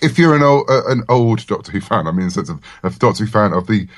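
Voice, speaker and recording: A man's voice: lowish voice, lively intonation, fast, no echo, studio quality. A man chatting casually and enthusiastically as the guest in an interview, talking as a comic writer.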